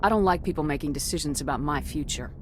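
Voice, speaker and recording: An actress playing a tough, direct military commander in a video game, curt and businesslike. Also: female voice, low voice, fast talker, a little hiss or hum, natural voice. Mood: skeptical, firm. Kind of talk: video game dialogue acting.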